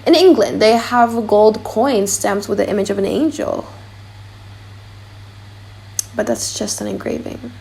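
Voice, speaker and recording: A woman's voice: medium pitch, moderate pace, gravelly, a little mellow, natural voice. A woman voicing a proud, lovestruck foreign prince in a dramatic audiobook play, grandly thinking aloud. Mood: proud, deliberating. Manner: grandiose, self-deliberating.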